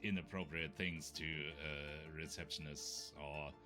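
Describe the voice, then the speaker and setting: A man with a German accent co-hosting a podcast in casual chat, often pausing to search for words. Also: a bass voice, fairly even intonation, pushed and bright, faint music behind. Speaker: podcast co-host.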